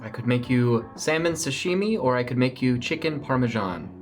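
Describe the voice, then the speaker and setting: A man acts out an obliging synthetic crew member in a sci-fi tabletop game. The delivery is measured and courteous, medium in pitch with lively inflection, over faint music. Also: lightly acted. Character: polite helpful male android crew member serving food. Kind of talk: tabletop roleplay in character.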